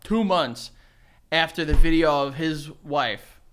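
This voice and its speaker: A young man co-hosting a podcast in casual chat, joking and animated, with dry deadpan asides, on a desk mic in a small studio. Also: on the high side, slow. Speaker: podcast co-host. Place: small studio room, indoors. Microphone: desk dynamic mic on stand.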